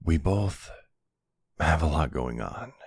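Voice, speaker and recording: A man's voice: extremely low pitch, lively intonation, slow pace, a little mellow, breathy edge, no echo, clean studio sound, exaggerated voice. A man playing a charming, tender mobster boyfriend in an ASMR-style roleplay. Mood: tender, apologetic.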